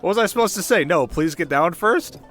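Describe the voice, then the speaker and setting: A man's voice: high-pitched, lively intonation, fairly quick, a bit bright, faint music behind. Man playing a boyish, easily flustered warrior duke. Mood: earnest, joking. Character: flustered, earnest, playful young warrior duke. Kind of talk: comic audio drama acting.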